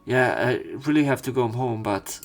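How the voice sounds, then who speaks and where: A man reading visual novel narration aloud as a let's play commentator, with halting casual asides where he corrects himself. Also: mid-range voice, expressive, creaky, soft background music.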